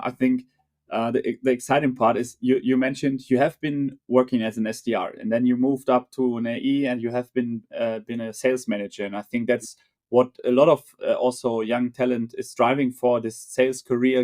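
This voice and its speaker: Halting podcast host, many fillers. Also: male voice, medium pitch, little pitch movement, darkish tone. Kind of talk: casual interview chat.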